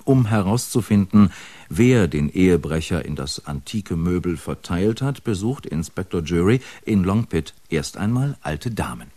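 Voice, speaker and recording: A man announcing upcoming radio crime dramas in a smooth, scripted trailer narration. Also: low voice, expressive, measured pace, mellow.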